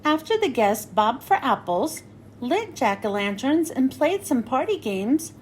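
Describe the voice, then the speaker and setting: Woman reading a children's picture book, high voice, animated rise and fall, slow and clear, sing-song storytelling. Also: a little hiss or hum. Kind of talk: picture book read-aloud.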